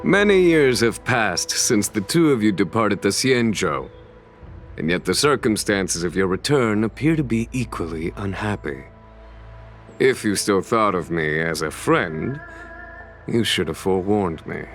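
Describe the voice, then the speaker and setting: An actor playing a calm, authoritative, weary general in an anime game, with composed, formal, measured diction. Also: male voice, lowish voice, faint music behind. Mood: reproachful, composed. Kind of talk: dramatic anime game voice acting.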